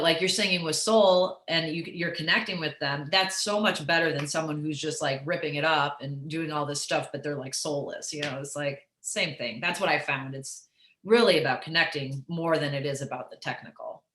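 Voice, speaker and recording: A middle-aged woman with a raspy alto voice, chatting casually and candidly as an interview guest, swearing freely and peppering her talk with filler phrases. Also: sits low, moderate pace. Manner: animated, profane, peppers talk with "like" and "you know". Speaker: interview guest, musician and comedian. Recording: roomy echo.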